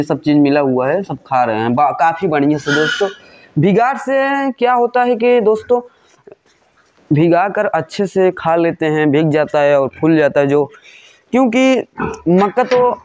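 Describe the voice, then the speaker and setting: High-voiced man touring his pig farm. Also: darkish tone. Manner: rambling, many fillers, addresses viewers as friends. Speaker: pig farmer. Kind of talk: casual walkthrough vlog. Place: indoor pig farm shed.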